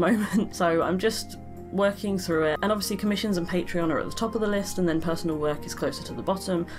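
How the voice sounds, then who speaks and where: A casual, self-deprecating woman talks over her art into a desk microphone. Her fairly low voice sounds a bit croaky and tired, and she laughs easily. Clear background music plays behind her. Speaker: art channel host. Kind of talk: casual voice-over commentary on a drawing.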